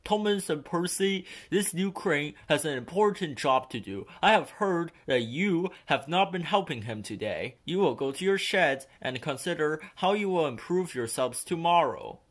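A man's voice: fairly high pitch, animated, moderate pace, hardly any pauses, voice pushed up. A man playing a stern, authoritative railway boss in a children's storybook, formal and scolding.

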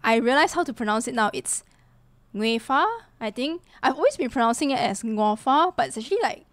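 Slightly hoarse young woman streamer, chatty. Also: fairly high pitch, lively intonation, fairly quick, no room sound. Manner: chatty, greets viewers, many fillers. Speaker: livestreamer. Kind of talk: casual gaming stream chat. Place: small room, indoors. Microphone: desk mic in front of her. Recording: music playing behind the voice.

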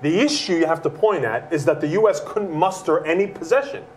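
A man's voice: on the high side, expressive, a little fast, slight background noise. A man in his thirties, a soccer analyst, speaking directly at a studio desk with a lapel mic.

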